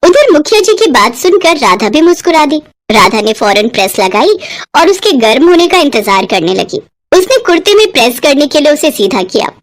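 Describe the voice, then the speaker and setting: A woman's voice: high-pitched, sing-song, normal speed. A woman narrating a scripted moral bedtime story as a storyteller.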